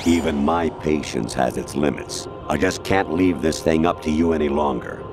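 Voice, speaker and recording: A man's voice: very deep voice, normal speed. An actor playing a stern older male military colonel in a video game, commanding and formal but eerily erratic. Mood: eerie, erratic. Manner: commanding, clipped, formal, sliding into rambling non sequiturs. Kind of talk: dramatic video game voice acting. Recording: music behind the voice. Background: loud music.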